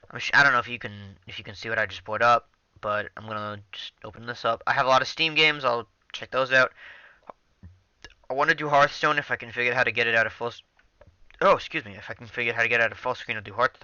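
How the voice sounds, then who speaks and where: A man's voice: medium pitch, pushed and bright, clean tone, no echo, muffled recording. A young man casually talking over his gameplay into a headset mic, rambling with many ums and restarts. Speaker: gaming channel host.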